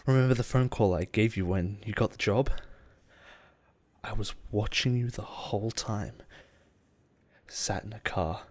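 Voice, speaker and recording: A man playing an obsessive, possessive boss in love with his assistant, in an intimate audio roleplay with halting, pause-filled delivery. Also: on the low side, darkish tone, close to an everyday voice. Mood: brooding, intimate. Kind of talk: intimate dramatic roleplay monologue.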